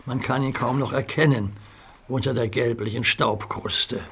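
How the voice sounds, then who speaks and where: A man narrating a children's audio drama in a calm, vivid storytelling manner. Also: medium pitch, moderate pace, a little hiss or hum.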